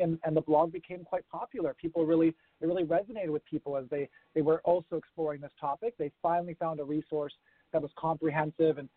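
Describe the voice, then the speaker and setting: A man's voice: a little high, dry recording. A man with an American-sounding accent, a guest in a remote interview, explaining things thoughtfully with some fillers. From grew up around the world. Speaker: guest, urban farming consultant. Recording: tinny phone line.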